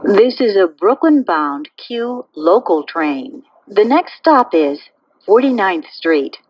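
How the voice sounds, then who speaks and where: A woman making pre-recorded subway train announcements in a measured, formulaic way. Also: mid-range voice, very animated, dry recording, highs cut off. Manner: measured, clearly phrased, formulaic delivery.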